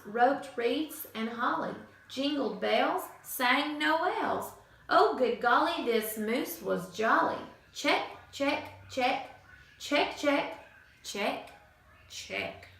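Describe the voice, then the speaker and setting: A woman teacher reading a picture book aloud to children in an expressive, sing-song storytelling manner. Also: sits high, slow pace. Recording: echoey room from far off.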